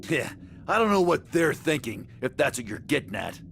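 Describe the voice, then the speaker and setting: A man playing a gruff, cynical warrior in a video game, blunt and slangy, with a gravelly voice. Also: a little high, sing-song, moderate pace, fairly bright tone, slight background noise, heavily acted. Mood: jaded, blunt. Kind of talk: English video game voice acting. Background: music.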